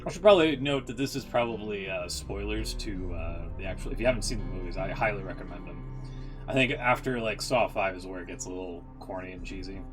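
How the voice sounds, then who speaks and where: A man with a fairly low voice chats casually as a host giving commentary. He rambles and hesitates as he thinks aloud, with guitar music clearly audible behind him. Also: brisk. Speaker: host reacting to game lore readings.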